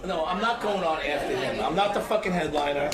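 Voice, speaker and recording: A man's voice: fairly high pitch, lively intonation, fairly quick. A man in a heated argument, stubborn, aggrieved and curt, swearing as he refuses. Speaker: DJ and performer in a touring show. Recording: echoey room with crowd noise. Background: crowd noise.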